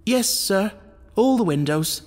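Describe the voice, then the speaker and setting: Man acting a high-pitched, frightened butler. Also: lively intonation, slow, in a large hall, heavily acted. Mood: nervous, evasive. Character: frightened, agitated male butler, evasive and pleading.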